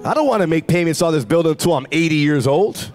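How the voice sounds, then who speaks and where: A man in his forties preaches from a big church stage into a handheld mic. His voice sits high and swings widely as he builds to fervent shouts. Soft music hums behind him. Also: expressive, quick pace. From United States. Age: 40s. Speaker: pastor. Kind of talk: sermon. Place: large church stage with congregation.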